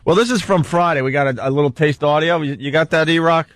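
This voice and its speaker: A man's voice: fairly high pitch, fast, a bit bright, dry recording. Guy hosting a radio show, talking sports casually, pretty emphatic, dry mic.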